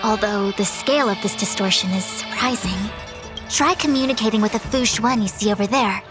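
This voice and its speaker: A woman's voice: medium pitch, a little fast, music in the background, natural voice. A woman voicing a composed, proud and learned master diviner in a video game, speaking in a formal, wordy, pompous way. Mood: calm, instructive. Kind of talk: scripted video game voice acting.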